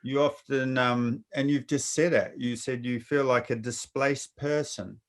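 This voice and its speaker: A man's voice: mid-range voice, fairly even intonation, measured pace. A man hosting a casual conversation, reflecting at length and rambling through his points. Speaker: interviewer. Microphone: video call.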